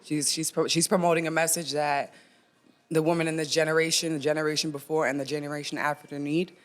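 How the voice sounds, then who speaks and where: A woman with a deep, raspy voice, chatting casually as a podcast guest in a laid-back, joking way. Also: flat delivery, fast talker. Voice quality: deep raspy voice, often taken for a man's.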